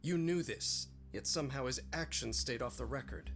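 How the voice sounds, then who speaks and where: A man's voice: medium pitch, normal speed, a bit bright, faint music behind, natural voice. An actor playing a composed, resolute male official in a video game cutscene, speaking formally and assertively. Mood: firm, composed. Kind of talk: English video game dub, dramatic cutscene acting.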